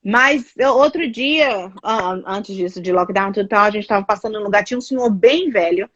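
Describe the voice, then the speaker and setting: A woman's voice: mid-range voice, normal speed, no room sound, dull audio. A Brazilian woman with a Brazilian Portuguese accent, chatting casually as a guest, telling stories with lots of fillers. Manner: chatty, animated storytelling with many fillers. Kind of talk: casual chat.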